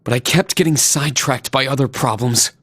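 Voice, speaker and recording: An actor playing a conscientious, guilt-burdened young instructor, speaking in a subdued, serious way in video game dialogue. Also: male voice, mid-range voice, a little fast, a bit bright. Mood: remorseful.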